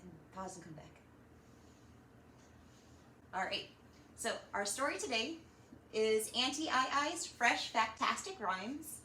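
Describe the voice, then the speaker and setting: A woman hosting a children's storytime, reading and chatting in an animated, encouraging way straight to young listeners. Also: on the high side, slow pace, pauses often. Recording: roomy echo, slight background noise.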